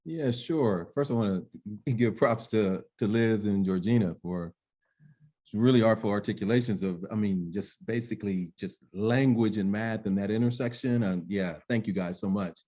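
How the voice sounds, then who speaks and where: A middle-aged man with an American accent speaking as a panelist in a webinar, explaining deliberately and emphatically, often checking in with "right?". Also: lowish voice, warm tone. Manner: emphatic, deliberate, peppered with "right?" and "you know". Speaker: panelist and language education specialist.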